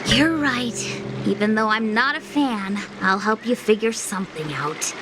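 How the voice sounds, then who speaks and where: A woman's voice: mid-range voice, measured pace, background noise, lightly acted. A woman voicing a shrewd, composed female diviner who lectures bluntly in an anime-style game. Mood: dry, critical.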